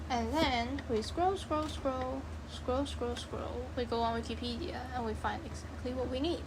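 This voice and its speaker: A woman's voice: on the high side, steady tone, moderate pace, background noise. A woman giving an informal coding tutorial, thinking aloud and answering her own questions as she goes. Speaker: programming tutorial presenter.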